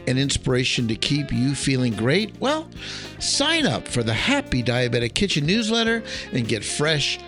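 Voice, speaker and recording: A man's voice: on the high side, a bit bright. A man hosting a podcast with an enthusiastic, emphatic delivery, as if reading an upbeat script. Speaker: podcast host, chef.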